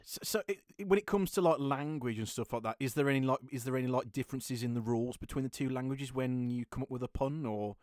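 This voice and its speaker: Casual podcast host, laughs a lot, trips over words, mid-pitched, dry sound. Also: quick pace, hardly any pauses, darkish tone, no echo. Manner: laughs often, stumbles and repeats words. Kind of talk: casual chat.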